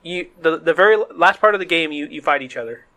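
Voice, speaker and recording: A man's voice: fairly high pitch, expressive, fast talker, bright, forceful tone. A man co-hosting a podcast, talking casually and enthusiastically, like a gamer swapping memories. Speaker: podcast co-host. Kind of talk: casual chat.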